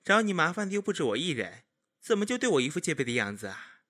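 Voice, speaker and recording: Man acting haughty young gentleman, high and swooping. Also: big pitch swings. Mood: taunting, smug. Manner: mocking, theatrical, drawn-out emphasis. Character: haughty, jealous young gentleman in ancient China. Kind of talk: period audio drama acting.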